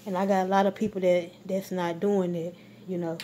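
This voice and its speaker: A woman's voice: on the low side, level tone, brisk, a little hiss or hum. A woman chatting casually in a home grocery haul vlog, rambling with many ums and filming on a handheld phone. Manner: rambling, full of ums, warm shout-outs. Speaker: vlogger. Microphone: phone camera held while filming.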